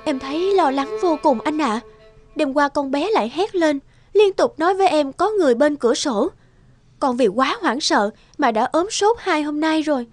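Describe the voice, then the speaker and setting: A woman's voice: high-pitched, expressive, moderate pace, faint music behind. A woman voicing an anxious, distressed young mother in a cartoon, worried and pleading. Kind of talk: cartoon voice acting.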